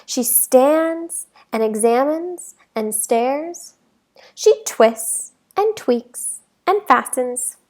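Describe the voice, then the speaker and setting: A woman with a high voice reads a children's storybook aloud. She takes her time, phrasing each line deliberately and leaning on key words for young listeners. The delivery is expressive, like a teacher at story time. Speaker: teacher reading a picture book aloud.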